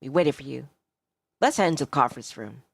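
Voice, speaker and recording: A woman's voice: very deep voice, expressive, brisk, vocal fry, breathy edge, no room sound, studio quality, voice pushed down, heavily acted. A woman voicing a curt male office rival who is secretly caring, speaking tersely. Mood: subdued. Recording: music behind the voice.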